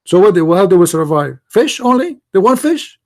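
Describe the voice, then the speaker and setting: A man with an Arabic accent argues in a heated debate, his voice sitting high. He is insistent and mocking, repeating the same question again and again to press his point. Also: sing-song, moderate pace. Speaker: host.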